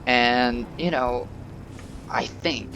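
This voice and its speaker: A young man with a monotone, halting manner, rambling through gameplay commentary with many fillers. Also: medium pitch, vocal fry, lo-fi sound, background noise. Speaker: gaming channel host. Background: loud game music.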